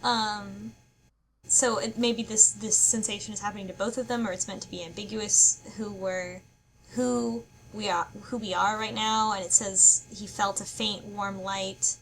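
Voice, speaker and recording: A woman with a mid-pitched voice co-hosts a casual discussion, with faint noise behind her. She speculates out loud in halting, puzzled phrases as she works through a story. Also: steady tone, poor audio. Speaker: book club podcast co-host.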